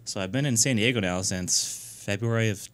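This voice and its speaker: Man, 30s, from New Hampshire. Low, smooth voice; earnest interview guest who restarts often. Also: fairly bright tone. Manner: earnest, halting, many fillers and restarts. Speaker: guest, nonprofit founder. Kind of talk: casual radio interview.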